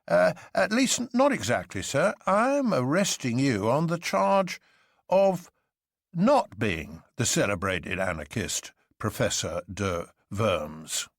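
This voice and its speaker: A man's voice: mid-range voice, very animated, slow, creaky, no echo, studio quality, heavily acted. A man voicing an enormous, impassive policeman in a storybook, civil and hesitant as he speaks. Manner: hesitant, polite, matter-of-fact.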